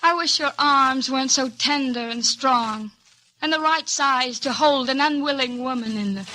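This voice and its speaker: Proud, pleading aristocratic heroine, vintage radio romance, high and piercingly bright, earnest delivery. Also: female voice, moderate pace, rough recording. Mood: anguished, pleading. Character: proud, anguished noble lady in love. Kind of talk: 1950s radio melodrama acting. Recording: old radio recording with faint noise.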